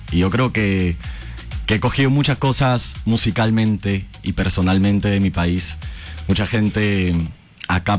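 A young man from Peru with a Peruvian Spanish accent, chatting earnestly as a guest on a talk show. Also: low-pitched. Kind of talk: casual chat.

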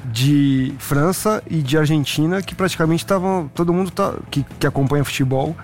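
A man's voice: a little high, moderate pace, darkish tone, faint music behind. A Brazilian man chatting casually as a football pundit on a talk show. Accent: Brazilian Portuguese. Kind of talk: casual sports chat.

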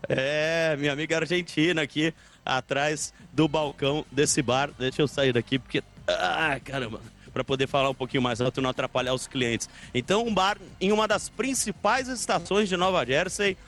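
A man's voice: on the high side, normal speed, a bit bright. A Brazilian man reporting live and informally on location, chatty, repetitive and rambling. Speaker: field reporter. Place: inside a station snack bar. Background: faint music.

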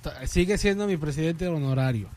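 A man co-hosting a radio show as the wisecracking sidekick, with a voice somewhat higher than most men's. He fires back quick jokes during the banter. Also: a little mellow, no room sound, soft background music. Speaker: radio co-host.

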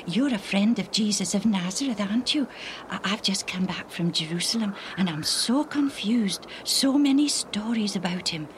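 A woman's voice: medium pitch, normal speed, a little hiss or hum, voice pushed up, close to an everyday voice. Woman doing a nervous confused guy, half whispering and stammering. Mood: anxious. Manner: hushed, stammering. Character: confused anxious traveller, man.

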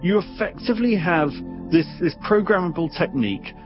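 A man speaking as an expert guest, explaining things conversationally in an animated, wry way, sometimes doubling back on his words. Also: high voice, moderate pace, lo-fi sound, over music.

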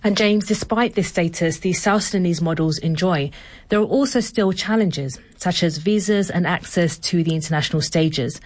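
A woman's voice: on the low side, moderate pace, darkish tone. A woman co-presenting a news programme, reading a scripted introduction.

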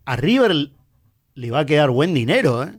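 An Argentine-accented man with a slightly high voice. He takes part in casual radio banter as one of the panel. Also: big pitch swings, normal speed.

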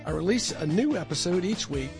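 A man's voice: high-pitched, animated, fairly quick, vocal fry, over music. A man hosting a podcast in a friendly, casual interview style, often repeating words as he starts a sentence. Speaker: podcast host.

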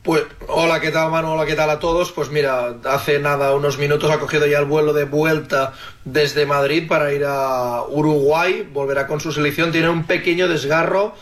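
A sports reporter gives a clear, factual update. His voice sits a bit higher than most men's. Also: steady tone, normal speed, a bit bright.

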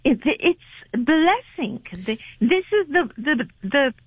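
A woman caller with a French accent, whose first language is French, speaking earnestly with halting repetitions. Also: high voice, sing-song, no echo. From immigrant to Canada. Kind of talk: phone-in call. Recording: tinny phone line.

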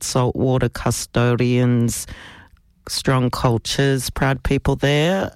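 A man from South Australia with an Australian accent, hosting community radio and speaking live in a measured, deliberate way, phrase by phrase. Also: a little high, clear, resonant tone, no echo. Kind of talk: live radio welcome and acknowledgement of country. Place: radio studio.

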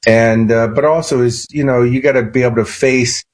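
Husky man with a Chicago accent. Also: medium pitch, normal speed. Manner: animated storyteller, frequent "you know" and restarts. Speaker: guest. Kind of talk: casual interview.

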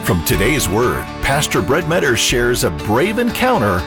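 Male radio announcer, lively pitch, scripted intro over clear music bed. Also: unhurried. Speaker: program announcer.

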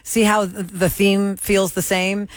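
A woman's voice: mid-range voice, level tone, measured pace, no echo. A woman from Houston hosting a radio show, chatting casually and reading out survey results with no regional accent. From Houston, Texas. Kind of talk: casual on-air chat.

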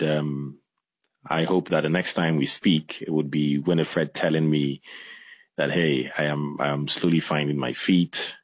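A man hosting a broadcast interview in a polite, measured way. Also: extremely low pitch, breathy edge, no echo. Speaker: radio host.

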